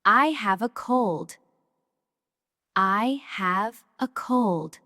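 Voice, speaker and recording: A woman teaching English, repeating each short sentence several times in a slow drill, often dropping to a whisper. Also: medium pitch, expressive, sharp tone, dry recording, clean studio sound.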